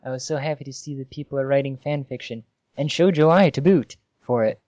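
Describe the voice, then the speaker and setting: A man casually reading amateur fan fiction aloud on a podcast, halting and amused, with asides. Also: mid-range voice, dark tone, no echo, lo-fi sound.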